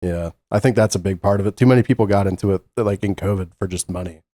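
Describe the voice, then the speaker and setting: Man, deep-voiced blunt co-host, dry mic. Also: quick pace, warm tone, no echo. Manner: blunt, casual swearing. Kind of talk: casual podcast chat.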